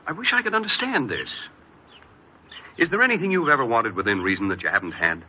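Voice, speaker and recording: A man's voice: mid-range voice, sing-song, fast talker, natural voice. An actor playing a stern, dismissive middle-aged father, brusque and impatient, in an old radio crime drama. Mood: exasperated. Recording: muffled, noisy old radio recording.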